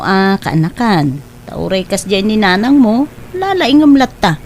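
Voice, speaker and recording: A woman's voice: medium pitch, slight background noise, close to an everyday voice. Woman acting a caring, supportive aunt. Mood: encouraging. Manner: caring, encouraging. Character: kindly, supportive middle-aged aunt and mother. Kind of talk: Ilocano audio drama acting.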